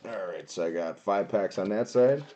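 A young man with a booming voice streaming a card box break from a small home room, chatting casually and greeting viewers by name. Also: moderate pace, mellow, muffled recording, a little hiss or hum. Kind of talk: casual live-stream chat.

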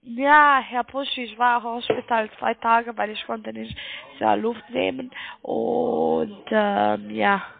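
A woman's voice: a little high, steady tone, takes it slowly, vocal fry, no room sound. A woman speaking non-native German with a foreign accent, stuffed up with a cold, working as a telephone sales caller. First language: not German. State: congested with a cold. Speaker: call center trading sales caller. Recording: muffled band-limited phone line.

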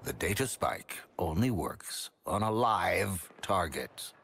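An actor playing a stern, exasperated team member in a video game, dry and blunt. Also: male voice, low-pitched, sing-song, slow, creaky, fairly bright tone, a little hiss or hum, heavily acted. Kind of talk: video game character acting.

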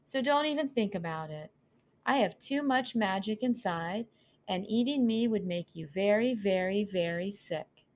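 A woman's voice: medium pitch, expressive, slight background noise, close to an everyday voice. A woman voicing a curious, chatty little girl mouse ballerina who asks question after question. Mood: bossy. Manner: quick, inquisitive questioning.

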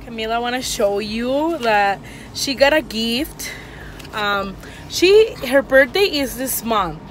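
A woman's voice: a little high, lively intonation, slow pace, a little hiss or hum, poor audio. Chatty mom vlogging on her phone. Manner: chatty, rambling, many "like" and "um" fillers. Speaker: family vlogger, mother of three. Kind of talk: casual vlog chat to camera. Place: home kitchen, then inside a parked car. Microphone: phone camera held at arm's length.

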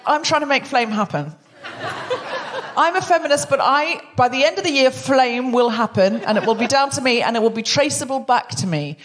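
A woman's voice: high voice, lively intonation, normal speed, fairly bright tone. A woman hosting a live comedy podcast, playful and self-mocking in her banter. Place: live show before an audience.

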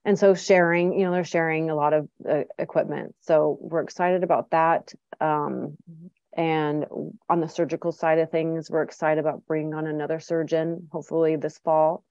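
Low, gravelly middle-aged woman. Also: level tone, normal speed, darkish tone, no room sound. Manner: chatty, frequent ums and restarts. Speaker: clinic director, guest. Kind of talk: casual interview answers. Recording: dull, dry lo-fi sound.

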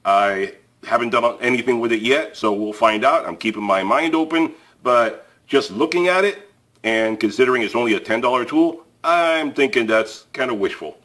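A man's voice: medium pitch, animated, moderate pace, a bit bright. Chatty man reviewing tools. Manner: chatty and plain-spoken, frequent fillers and restarts. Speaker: tool review channel host. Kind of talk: casual product review to camera. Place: indoors at a table, filmed from above.

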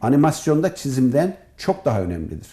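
An older man, a professor answering interview questions in formal wording, speaking in a measured way with frequent pauses. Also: medium pitch, sing-song, warm tone. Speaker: professor, head of an animation department.

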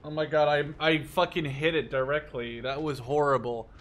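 A young man giving casual, profanity-laden gameplay commentary, excitable and often talking to himself as he plays. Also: on the high side, normal speed. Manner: excitable, swears freely, talks to himself. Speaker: gaming YouTuber. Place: small room at home.

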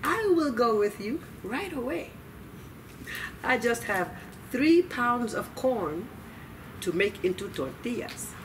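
A spry old storybook grandmother, played by a woman reading aloud, cheerfully polite and full of delay tactics. Her speech is drawn out, sing-song and swooping, heard from a little way off over clear background noise. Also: fairly high pitch, very animated, slow, pauses often, distant mic, pitched above the performer's own voice, rough recording. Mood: cheerful stalling.

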